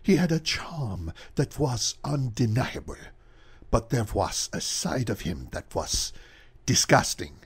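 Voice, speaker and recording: A man narrating a radio mystery drama in an ominous, measured way. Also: mid-range voice, sing-song, breathy edge.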